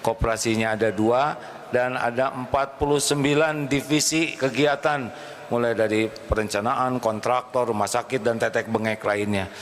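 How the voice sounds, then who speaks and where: Preacher in a reverberant hall. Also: male voice, medium pitch, fairly even intonation, moderate pace. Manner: stumbles over words, chatty asides. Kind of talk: casual sermon talk. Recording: long hall reverb, faint noise.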